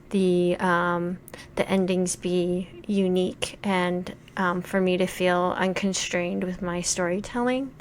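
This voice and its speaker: A woman talks through a casual development update in a halting, unhurried way, with a slightly low pitch. She sounds apologetic and hedges as she goes. Some background noise sits behind her voice. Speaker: indie visual novel developer. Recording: clear steady background noise.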